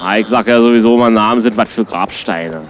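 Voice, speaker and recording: A man's voice: lowish voice, quick pace, noisy background, natural voice. A man playing a sceptical, matter-of-fact, slightly dim buddy in a comic radio play.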